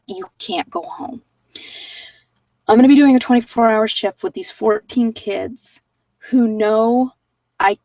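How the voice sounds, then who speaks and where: A woman's voice: mid-range voice, darkish tone, no room sound, far from the mic, poor audio. A middle-aged American woman chatting casually and candidly to her viewers, rambling from one thought to the next. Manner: rambling, candid, digressive storytelling. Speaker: vlogger chatting with live viewers. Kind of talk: casual chat.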